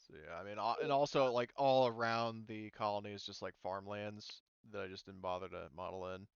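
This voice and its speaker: Male game master, lowish clean voice, informal, thinking out loud; dry, muffled recording. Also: steady tone, brisk, gravelly, clean tone, dry recording. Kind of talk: casual online tabletop game narration.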